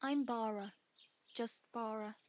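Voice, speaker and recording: A woman's voice: fairly high pitch, steady tone, takes it slowly, darkish tone, no echo. A woman playing a friendly, plucky young wandering beggar in a medieval video game, chatty and wry. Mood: matter-of-fact. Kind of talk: video game dialogue acting.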